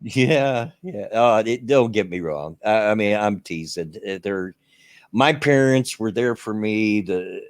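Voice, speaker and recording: A middle-aged man with a Southern US accent hosting a casual livestream chat from his bedroom, loud and carrying, laughing often. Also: lowish voice, dry recording. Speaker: host. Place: bedroom at home.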